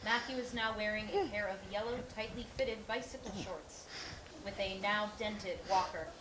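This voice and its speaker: A woman's voice: mid-range voice, fairly even intonation, moderate pace, lightly acted. A woman giving scripted narration, reading stage directions for a play. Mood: neutral. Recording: distant, echoey, with clear noise.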